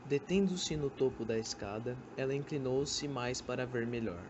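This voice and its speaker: A man's voice: mid-range voice, normal speed. A young man with a Brazilian accent reading scripted literary narration for an amateur radio drama. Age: young adult. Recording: amateur recording with background noise.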